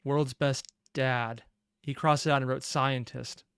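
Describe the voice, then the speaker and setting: Casual commentary host, medium-pitched, invested and halting, in a dry close recording. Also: fairly even intonation, a bit bright, smooth, no echo. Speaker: reaction video host.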